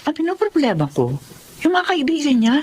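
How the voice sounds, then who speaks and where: An actress playing a caring, worried middle-aged mother who gently admonishes, in a Filipino-accented radio drama performance. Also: high-pitched, fairly quick, a little hiss or hum. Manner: expressive, admonishing.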